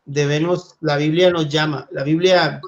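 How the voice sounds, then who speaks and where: A man from Costa Rica leading a church Bible study, speaking gently and thoughtfully, with hesitant fillers. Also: fairly high pitch, steady tone, measured pace. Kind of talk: Bible study teaching and reading scripture.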